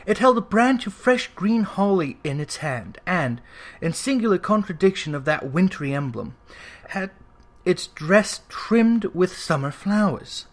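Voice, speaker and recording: A man with a slightly high voice reads classic fiction as a narrator. His delivery is measured and expressive, in a literary storytelling style. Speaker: audiobook narrator.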